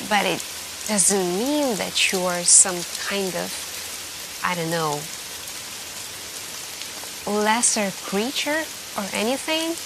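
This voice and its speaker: Over a clear rainy hiss comes a shaken young hacker, acted by a woman, speaking very slowly in a high-pitched, soft ASMR voice. She is secretive and protective, halting often and trailing into uncertain questions. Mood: earnest, reassuring. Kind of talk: soft-spoken ASMR roleplay.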